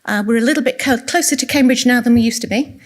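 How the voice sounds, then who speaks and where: A woman giving a quiet-voiced conference talk, asking the audience questions as she goes. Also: on the high side, quick pace. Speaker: conference speaker. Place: conference room.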